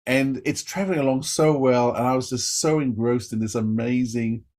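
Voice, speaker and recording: A man co-hosting a podcast, giving a casual, enthusiastic review in a halting, effusive way. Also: mid-range voice, a little mellow.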